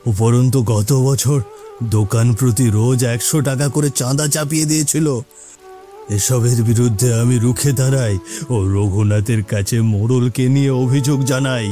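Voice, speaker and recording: A man voicing a vengeful ghoul, a murdered village shopkeeper, in a grave, dramatic, halting cartoon delivery. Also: mid-range voice, dark tone, close to an everyday voice. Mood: aggrieved. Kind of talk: Bengali animated moral-story acting. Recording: faint music behind.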